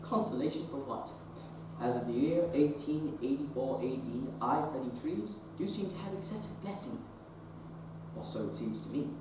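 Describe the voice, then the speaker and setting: A man's voice: mid-range voice, lively intonation, background noise, natural voice. Young man playing a proper Victorian surgeon. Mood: reflective. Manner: formal, measured, self-questioning. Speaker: actor in a teen stage production. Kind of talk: stage play acting. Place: theater stage. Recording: roomy stage sound from a distant mic.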